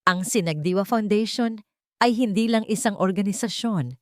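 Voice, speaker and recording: A woman's voice: medium pitch, moderate pace, no room sound, studio quality, close to an everyday voice. A woman audiobook narrator with a Filipino accent voicing a determined, hopeful woman leader, in an earnest, formal, declamatory manner. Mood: earnest, resolute. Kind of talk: audiobook character speech.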